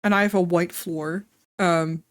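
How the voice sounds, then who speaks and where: Wheezy, slow-talking woman streamer. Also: fairly low pitch, fairly even intonation, dry recording. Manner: chatty, swears casually, laughs often. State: slightly congested and wheezy. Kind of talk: casual live-stream chat.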